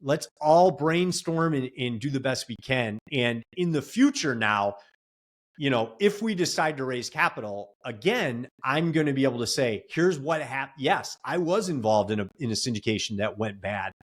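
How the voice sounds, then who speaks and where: Man, mid-pitched voice, earnest, advice-giving, long run-on sentences, casual interview. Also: expressive, moderate pace. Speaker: real estate investor and coach.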